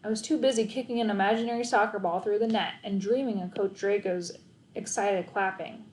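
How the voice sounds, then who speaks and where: A woman reads a children's story aloud with expressive, dramatic phrasing. Her voice sits at a middle pitch. The room adds a clear echo around her words. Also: brisk, a little hiss or hum. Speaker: read-aloud narrator. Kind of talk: expressive children's audiobook narration, first person.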